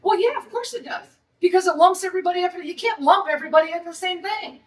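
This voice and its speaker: A woman's voice: very high-pitched, fast, far from the mic. A middle-aged woman from Detroit with a Detroit accent, a restaurant owner holding a blunt, indignant press conference.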